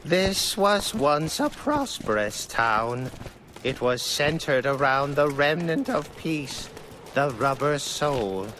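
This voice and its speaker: A man acting a solemn, formal retainer in a video game, speaking in measured, archaic phrases. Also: on the high side, sing-song, fairly bright tone, a little hiss or hum, exaggerated voice. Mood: solemn, explanatory. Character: solemn, formal male retainer explaining lore gravely.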